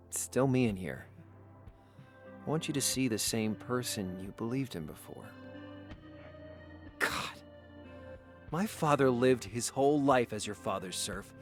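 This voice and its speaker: An actor playing an eager, awkward self-made merchant of peasant birth in a visual novel, earnest and halting, sometimes stammering. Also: male voice, medium pitch, fairly bright tone. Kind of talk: naturalistic visual novel acting.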